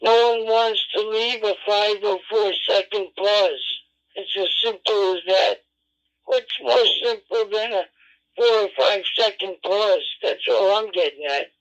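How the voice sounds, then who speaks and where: A man with a notably high voice speaks at a slow, deliberate pace. He is combative and repetitive, swearing as he argues. The audio is a dull, lo-fi radio transmission. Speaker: amateur radio operator. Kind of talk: radio argument. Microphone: radio transceiver microphone.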